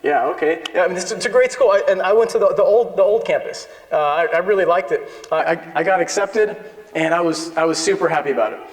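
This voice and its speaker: A man's voice: sits high, fast talker. A man with an American accent giving an informal, conversational, self-deprecating lecture with personal anecdotes. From born in Peru, grew up in the United States. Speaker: lecturer, dental implant surgeon. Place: indoor lecture hall with an audience. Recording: echoey hall with long reverb.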